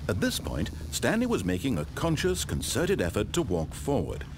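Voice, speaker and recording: A man's voice: medium pitch, wildly swooping pitch, normal speed, background noise. A man with a British accent playing an urbane, wry storyteller in a game, precise and theatrical in his scripted narration. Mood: ominous.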